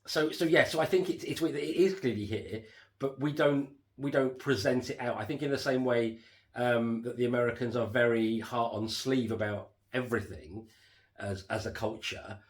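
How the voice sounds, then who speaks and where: A man co-hosting a casual home podcast, rambling with lots of fillers and restarts, his voice going hoarse. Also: mid-range voice, steady tone, normal speed. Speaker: podcast co-host reviewing a TV series. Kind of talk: casual chat.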